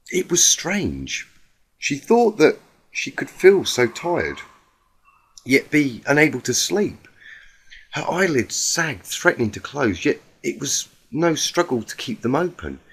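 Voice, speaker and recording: A man with a husky voice reading a story aloud in a dramatic audiobook style. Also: lowish voice. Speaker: fan fiction reader.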